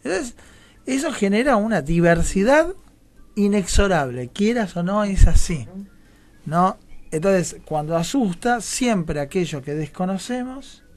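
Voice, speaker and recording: Argentine male theatre director, slightly high voice, thoughtful discussion style, seeks agreement as he reasons. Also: expressive, measured pace. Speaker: radio show guest, theatre director. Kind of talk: reflective radio discussion. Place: radio studio.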